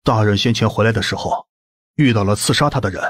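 A man's voice: on the low side, fast talker, dry recording, clean studio sound, lightly acted. A man playing an anxious, deferential servant in an audiobook drama, with a respectful way of talking. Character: deferential, anxious male servant reporting to his mistress. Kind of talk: audiobook drama acting in Mandarin.

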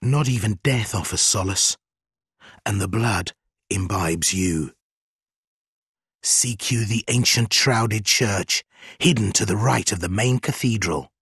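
A man voicing a sly, gleeful spider creature in a gothic fantasy game, husky and theatrical, with wheedling, archaic phrasing. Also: fairly low pitch, takes it slowly, long pauses, a bit bright, no room sound, clean studio sound, exaggerated voice. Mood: sly, ominous. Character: sly, gleeful, obsequious spider-bodied trickster zealot.

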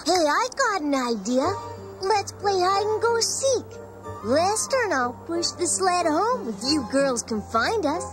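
An eager, earnest little cartoon kid, speaking very high and sweetly. The lines bounce with lively pitch over loud music. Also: female voice, unhurried, heavily acted. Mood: playful. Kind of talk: cartoon character acting.

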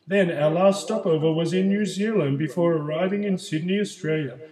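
A man's voice: a little high, fairly quick, warm tone, reverberant, close to an everyday voice. A man playing an older Chilean migrant. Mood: calm. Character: older male Chilean migrant recalling his journey. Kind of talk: school project role-play interview.

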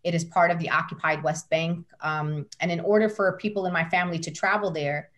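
A woman's voice: on the low side, steady tone, a little fast, a bit bright. A middle-aged woman from the United States, a guest speaking passionately and emphatically over a video call from a room at home. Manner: passionate, emphatic, repeats words when building a point. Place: home room with curtains behind. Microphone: laptop webcam on video call.

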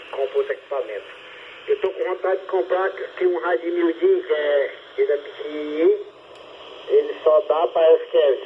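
A man chatting casually over two-way radio, colloquial and often repeating his phrases. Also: on the high side. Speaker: amateur radio operator. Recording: narrow-band, noisy radio transmission.

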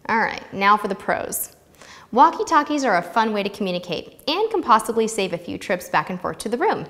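A woman's voice: medium pitch, sing-song, fairly quick, fairly bright tone, long reverb tail. An American woman in her thirties presenting scripted tips to camera in a light-hearted, playful way on a lapel mic. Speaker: host of a travel tips video series.

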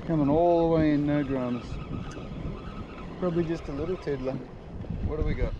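Laid-back Australian bloke fishing from a kayak. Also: male voice, a little high, brisk, highs cut off, background noise. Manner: relaxed, mutters to himself, laid-back slang. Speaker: fishing vlogger. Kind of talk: casual running commentary while fishing. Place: on a kayak out at sea, outdoors. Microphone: action camera mounted on the kayak. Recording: music playing under the voice. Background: music over the talk.

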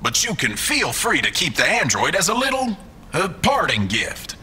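An actor playing a smug, callous villainous boss, theatrical and condescending, in a science-fiction audio drama. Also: male voice, a little high, huge pitch swings, normal speed, sharp tone, breathy edge, full character voice. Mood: smug, mocking.

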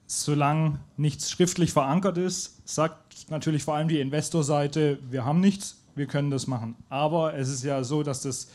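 A man speaking as a guest on a live football panel, explaining a point in a measured way. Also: a little high, fairly even intonation, darkish tone. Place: pub stage before a live audience.

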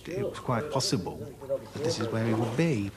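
A man's voice: mid-range voice, animated, normal speed, over a noisy crowd. A man in his forties giving a reflective interview as a rescue diver. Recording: clear background noise.

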